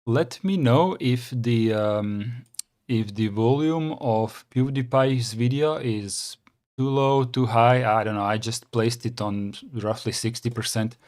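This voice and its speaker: A man's voice: mid-range voice, slow, a little mellow. A man with a Croatian accent, hosting a casual reaction stream, talking hesitantly with many fillers and restarts. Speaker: channel host reacting to a video.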